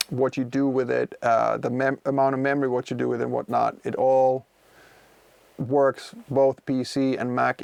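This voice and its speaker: A man's voice: mid-range voice, gravelly, clean tone, no room sound. A man in his forties from Finland, speaking English with a Finnish accent as his second language, chatting casually and rambling with many fillers while streaming from his music studio. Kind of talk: casual livestream chat, answering viewers.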